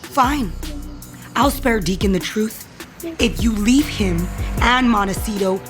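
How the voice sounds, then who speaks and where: Scornful wife played by a woman, audio soap villainess, cutting and commanding, clear music bed underneath. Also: medium pitch, takes it slowly, close to an everyday voice. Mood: menacing. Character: bitter, threatening wife confronting her husband's male lover. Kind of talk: audio soap opera acting.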